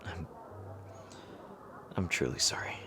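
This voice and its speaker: A man playing an earnest, lovestruck rival king in an intimate romantic audio roleplay, speaking haltingly and hesitantly. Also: noisy background, natural voice. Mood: remorseful.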